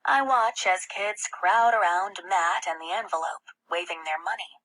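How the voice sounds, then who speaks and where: A woman reading a children's novel aloud in the first person, as an expressive audiobook narrator. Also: on the low side, measured pace, low-quality recording. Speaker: audiobook reader.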